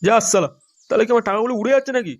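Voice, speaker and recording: Cartoon husband played by a man: crude, suspicious, complaining very fast, audible noise. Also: high voice, animated, highs cut off. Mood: accusing. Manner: accusing, swearing. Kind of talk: comic cartoon dubbing.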